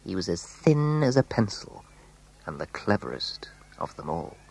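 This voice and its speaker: A man narrating a scripted children's story in a measured, dramatic way, letting phrase endings fall hushed. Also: deep voice, big pitch swings, creaky, husky, no room sound, poor audio.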